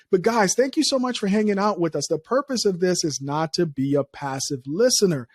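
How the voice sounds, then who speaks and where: A man from Liberia hosting a startup podcast, energetic, speaking straight to listeners and reading sponsor spots. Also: no room sound, clean studio sound. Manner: energetic, pitches listeners directly. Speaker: podcast host. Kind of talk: podcast interview and ad read.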